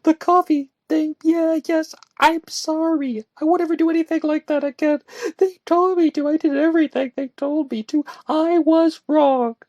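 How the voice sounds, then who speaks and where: A woman reading a story aloud and voicing a distraught, volatile young woman who pleads and lashes out in shrill outbursts. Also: a little slow, dark tone, no echo, lo-fi sound. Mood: angry.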